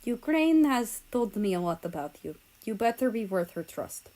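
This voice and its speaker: A woman's voice: mid-range voice, sing-song, normal speed, warm tone, lightly acted. A woman voicing a guarded, distrustful young man with a blunt, hesitant manner in a story reading. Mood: cold, suspicious.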